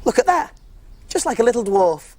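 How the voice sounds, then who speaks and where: A man's voice: very high voice, sing-song, normal speed. A man playing a friendly big cook who presents simple step-by-step cooking on a preschool show, in an encouraging way. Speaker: children's cookery presenter.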